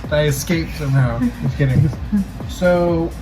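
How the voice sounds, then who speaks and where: A man's voice: a little high, poor audio. A young adult American man chatting casually, joking and swearing freely. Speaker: makeup artist, guest. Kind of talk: casual chat. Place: small indoor makeup studio. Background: music.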